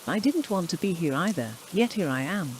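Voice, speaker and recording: A woman's voice: lowish voice, animated, brisk, lightly acted. A woman playing a composed, professional doctor on a case in a horror drama. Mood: composed, cool. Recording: light rain noise behind the voice.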